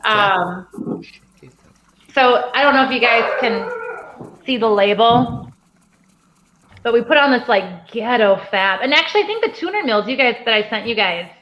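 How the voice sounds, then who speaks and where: A woman's voice: fairly high pitch, animated, moderate pace, pauses often, slight background noise. A woman in her forties, a guest distiller, chatting casually and rambling with easy laughs over a video call. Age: 40s. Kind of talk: casual livestream chat. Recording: echoey, dull, faint clicks.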